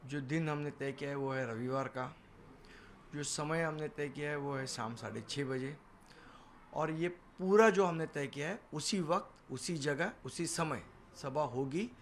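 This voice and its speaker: Male party spokesman, higher-set voice. Assertive news-interview answers, light ambient noise. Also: unhurried. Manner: assertive, defiant, emphatic repetition. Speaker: political party leader and spokesman. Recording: noisy location sound, background ambience.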